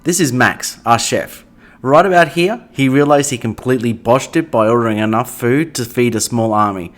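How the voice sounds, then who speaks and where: A man's voice: mid-range voice, moderate pace. An Australian man hosting a sailing channel, reading scripted, upbeat voice-over narration.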